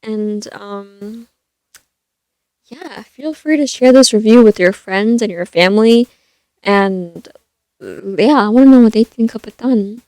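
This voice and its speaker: Young woman vlogger, naturally soft voice, middle register, chatty and loose, dead-dry close mic. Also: mid-range voice, a little slow, no room sound. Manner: rambling, many fillers and restarts. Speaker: vlogger reviewing a film. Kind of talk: casual chatty review. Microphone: stand mic.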